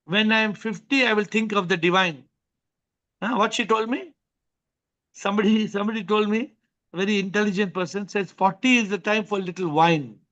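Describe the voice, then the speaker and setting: A man's voice: high-pitched, a little fast, a bit bright, dull audio. A man hosting an online spiritual question session, probing with repeated questions and telling anecdotes.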